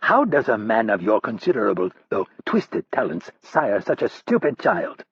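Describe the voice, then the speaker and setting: A man voicing a menacing militia leader who taunts his captive with slow threats, in an audiobook. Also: mid-range voice, sing-song, breathy edge, no echo, lo-fi sound, full character voice. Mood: gloating. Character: menacing Palestinian militia leader, cruel and mocking.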